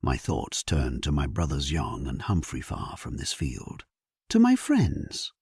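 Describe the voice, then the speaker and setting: A man playing a solemn, resolute English warrior king, narrating his battle in a measured, stately way for an audio drama. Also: rumbling low, huge pitch swings, a little mellow, breathy edge, no room sound, studio quality, exaggerated voice. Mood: solemn, reflective. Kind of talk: dramatic scripted first-person narration, sleep story.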